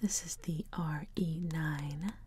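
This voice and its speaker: Asmr lady whispering real slow and soothing, up close, bit of hiss. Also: sits low. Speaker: ASMR video creator. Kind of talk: ASMR whispering, gentle makeup roleplay.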